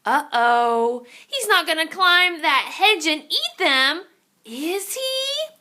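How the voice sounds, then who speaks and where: A woman's voice: extremely high pitch, very animated, slow pace, bright, forceful tone. A woman reading a children's picture book aloud in a theatrical, suspenseful way, drawing out her exclamations. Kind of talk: animated children's story read-aloud.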